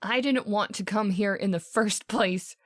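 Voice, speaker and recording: An actress playing a frightened, hysterical young woman who pleads and whimpers haltingly in old-time radio horror style. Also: mid-range voice, dry recording, clean studio sound, lightly acted. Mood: shaken, fearful.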